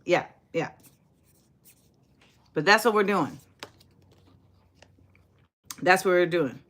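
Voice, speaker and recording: A woman's voice: fairly low pitch, takes it slowly. A woman in her forties hosting a casual livestream, chatty and sassy, talking straight to viewers. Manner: chatty, sassy, animated, reads and answers viewer comments. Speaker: livestream host.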